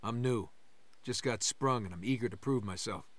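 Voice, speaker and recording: A man's voice: fairly low pitch, moderate pace, no echo, natural voice. An actor playing an eager, brash male bandit recruit in a video game, keen to prove himself.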